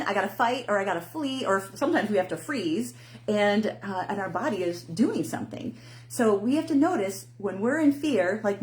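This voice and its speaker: Lively woman with an expressive voice. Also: mid-range voice. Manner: animated, often laughs, acts out inner voices. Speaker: host, intuition coach. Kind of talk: casual live-stream talk to viewers.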